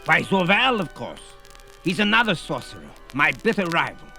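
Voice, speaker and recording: High-pitched, indignant old sorcerer. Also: male voice, sing-song, moderate pace, vocal fry, bright, forceful tone, faint music behind, heavily acted. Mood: flustered, anxious. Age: older. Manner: theatrical, indignant, emphatic. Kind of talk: 1970s fantasy audio drama acting.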